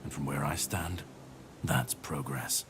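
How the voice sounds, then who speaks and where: An actor playing a grim, world-weary warrior on a mission in a video game, speaking in a grave, measured way. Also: male voice, extremely low pitch. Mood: grave, resolute. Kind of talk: dramatic video game dialogue acting. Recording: clear background noise and ambience.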